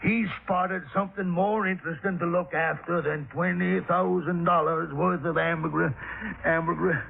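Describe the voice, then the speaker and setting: An actor playing an irascible, bullying middle-aged businessman from the American South in a 1950s radio drama, gruff, sputtering and repeating his words. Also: a little high, fairly even intonation, normal speed. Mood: fuming. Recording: old radio recording, narrow phone-like band.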